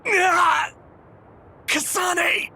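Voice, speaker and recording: A man's voice: very high voice, fairly even intonation, very slow, gravelly, bright, forceful tone, raised voice, slight background noise, full character voice. An actor playing an earnest, distressed teenage boy soldier in an anime-style game, urgent and pleading. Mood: shocked, hurt.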